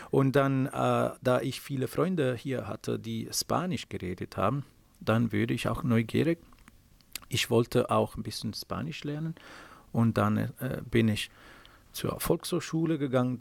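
A middle-aged Italian man explains his work in halting German, stopping often with filler sounds between phrases. His voice is gravelly and mid-pitched, with a strong Italian accent. The sound is close and dry, with almost no room in it. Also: dry recording. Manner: hesitant, many filler sounds. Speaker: space mission software engineer. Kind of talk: interview answers in non-native German. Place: office at a space operations centre.

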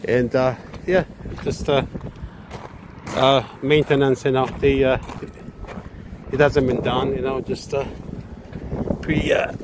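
Hvac guy rambling with lots of uh's on a roof, phone mic, loud wind rumble. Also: level tone. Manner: halting, full of "uh" fillers. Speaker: HVAC technician. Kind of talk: casual work video narration. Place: outdoors on a commercial rooftop. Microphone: handheld phone camera.

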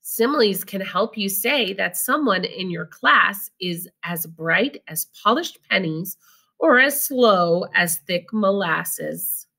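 A woman reads to pupils with a bright, lively voice that swings up and down. Her pace is unhurried, and she stresses key phrases. There is some creak in her voice as she moves between reading and explaining. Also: mid-range voice. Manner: instructive, deliberate, emphasizing key phrases. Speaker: teacher reading aloud. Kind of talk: picture-book read-aloud with explanatory asides.

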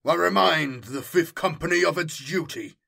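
A man's voice: on the high side, moderate pace, vocal fry, sharp tone, voice pushed up, full character voice. A man voicing a fiery zealot warrior chaplain, raised and commanding. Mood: fervent, commanding. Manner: fiery, commanding, solemn oratory. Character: zealous armoured warrior chaplain preaching before battle. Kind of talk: dramatic audiobook sermon.

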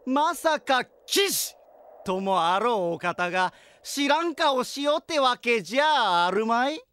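Indignant, shrill shopkeeper. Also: male voice, sing-song, unhurried, bright, forceful tone, clear, resonant tone, no room sound, exaggerated voice. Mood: accusing, demanding. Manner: scolding, exclaiming. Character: indignant, pushy shopkeeper demanding payment for damage. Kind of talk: Japanese audio drama acting.

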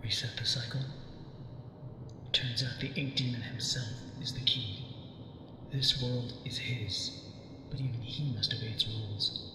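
A man voicing a weary prisoner held for a long time, calm and cryptic, in a video game cutscene, speaking in a hushed, half-whispered, measured way. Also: medium pitch, natural voice. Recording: cavernous echo with long reverb and ambient noise.

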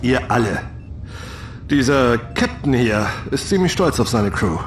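An actor playing a tired, secretive male starship captain, measured and a little hesitant. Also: mid-range voice, sing-song, a little hiss or hum. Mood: reserved, weary. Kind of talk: audio drama acting. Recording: roomy, music behind.

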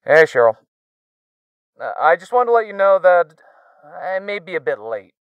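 A man's voice: sits high, very animated, normal speed, clean tone, no room sound. A man voicing an apologetic, busy young friend making a call in a visual novel game. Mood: apologetic, hesitant.

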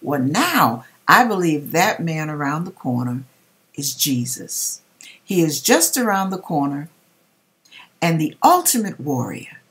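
Middle-aged woman, slightly low voice, lively intonation, dramatic pauses, religious read-aloud, home kitchen recording. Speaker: vlogger reading a viewer's dream and commenting.

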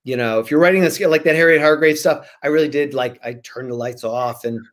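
A man in his forties, a guest author chatting casually on a video call, repeating phrases for emphasis in an animated way. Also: a little high, brisk. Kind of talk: casual interview chat. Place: small room at home, indoors. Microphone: video call, computer microphone.

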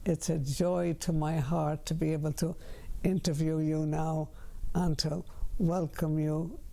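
An older man interviewing a guest in a room lined with bookshelves, speaking slowly and deliberately and repeating his words. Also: a little high, vocal fry, warm tone, rough recording.

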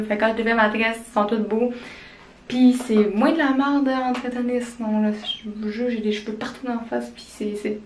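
A woman's voice: a little high, slight background noise. A young woman in her twenties with a Quebec French accent, chatting casually as a vlogger, rambling with lots of fillers into a handheld camera. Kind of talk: casual vlog chat. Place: small apartment indoors. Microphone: handheld camera at arm's length.

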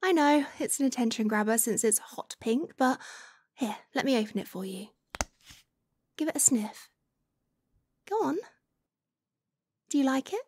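A high, soft-spoken motherly woman, acted out by a woman for an ASMR roleplay. She is slow and coaxing, doting on her listener with playful teasing and slipping into near-whispers. The recording is dry and close. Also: animated, slightly rough, dry recording, heavily acted.

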